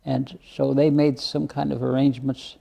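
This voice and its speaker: A man in his eighties or older telling personal stories as an interview guest, plain-spoken and halting, often repeating words. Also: medium pitch, flat delivery, gravelly, dark tone, husky. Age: over 80. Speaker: interview guest, retired minister. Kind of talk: reflective personal storytelling in an interview.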